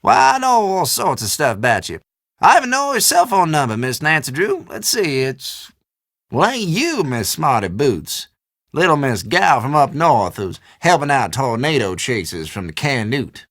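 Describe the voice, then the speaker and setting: An actor playing a folksy old storekeeper in a video game, chatty and teasing, with a drawl. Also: male voice, mid-range voice, big pitch swings, normal speed, fairly bright tone, no room sound, clean studio sound. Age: older. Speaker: small-town general store keeper. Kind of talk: video game character dialogue.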